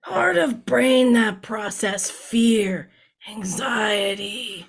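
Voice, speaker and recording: A woman playing a picky, scornful zombie in a comedic audio play, talking in blunt broken English with a creaky, husky voice. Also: on the high side, extremely slow, full character voice. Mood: scornful, hungry.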